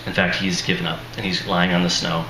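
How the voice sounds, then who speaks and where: A man's voice: deep voice, flat delivery, fairly quick. A man, an author at a live reading, introducing his book in a casual, self-deprecating way with chuckling asides and a voice that sounds worn out. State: strained, tired voice. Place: live reading venue with audience. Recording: echoey room, noisy ambience.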